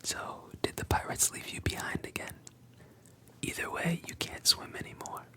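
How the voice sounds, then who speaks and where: A whispering narrator voicing a teasing village shopkeeper in a soft, breathy hush. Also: moderate pace, natural voice.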